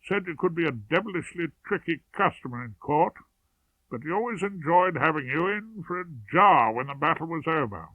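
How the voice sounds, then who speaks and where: A man voicing an affable, hearty upper-class English gentleman in his forties in an audiobook. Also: a little high, lively intonation, normal speed, dry recording, pitched above the performer's own voice. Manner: cheerful, hearty, polite. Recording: narrowband, tinny radio-like sound.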